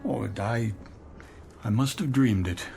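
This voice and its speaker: An actor playing a weary, wistful middle-aged American office executive in a radio drama, with a hesitant, musing manner. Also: male voice, mid-range voice, slow pace, creaky, a little mellow, breathy edge, soft background music, full character voice. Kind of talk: modern radio drama acting.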